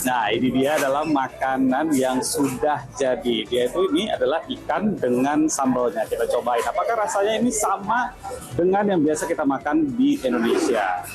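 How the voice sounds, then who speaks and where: A male field reporter presents casually, as if talking straight to viewers. His voice has a middling pitch, with music clearly playing behind it. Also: expressive, moderate pace, low-quality recording.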